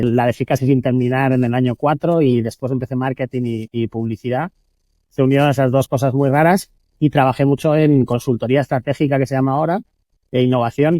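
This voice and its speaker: Mellow Madrid-born man, relaxed storyteller. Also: medium pitch, no room sound. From Spain (Madrid). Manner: relaxed, self-correcting, repeats words while thinking. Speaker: guest entrepreneur. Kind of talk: casual interview storytelling.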